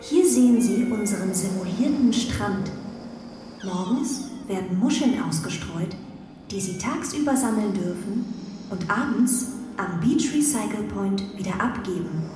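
A woman's voice: medium pitch, animated, lightly acted. Echoey, distant artificial ruler voiced by a woman. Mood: formal, detached. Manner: formal, measured, emotionless courtesy. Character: artificially intelligent female ruler of space ark, courteous, controlling. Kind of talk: German children's radio play acting. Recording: echoey hall, distant, faint noise.

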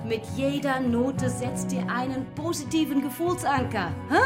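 An actress playing a relentlessly cheerful Dutch singing teacher in a children's radio play, gushing and sing-song, with a Dutch accent. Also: female voice, sits high, normal speed, lightly acted. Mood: bubbly, coaxing. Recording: music behind the voice.

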